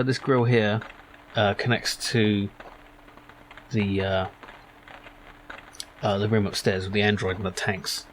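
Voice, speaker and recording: Low-voiced musing man, gaming commentary. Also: slight background noise, low-quality recording. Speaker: gaming video host. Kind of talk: casual playthrough commentary.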